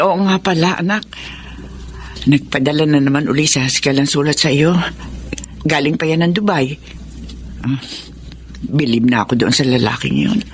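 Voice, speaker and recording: An actress playing a worried, doting middle-aged mother in a Filipino radio melodrama, expressive and pleading. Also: fairly low pitch, creaky, a little hiss or hum. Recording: drama with music bed behind.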